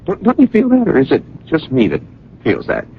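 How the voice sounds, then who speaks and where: An actor playing an earnest, lovesick suitor in a radio drama, halting and emotional as he presses with anxious questions. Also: fairly high pitch, huge pitch swings, slight background noise. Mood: anxious pleading. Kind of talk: old radio drama acting. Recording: dull old radio broadcast.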